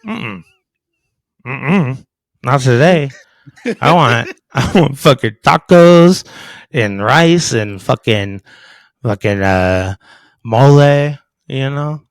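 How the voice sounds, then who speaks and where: A man's voice: mid-range voice, slow pace, pauses often, no echo. A man in his thirties on a casual podcast, talking bluntly and cursing freely. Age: 30s. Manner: blunt, profane and animated. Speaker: podcast guest.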